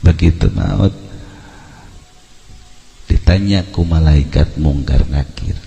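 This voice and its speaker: Gravelly male preacher in an echoing hall. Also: low voice, slow, slight background noise. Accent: Sundanese. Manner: theatrical, acts out dialogue, swings between hushed and loud. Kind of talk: humorous storytelling sermon. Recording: echoey hall with long reverb.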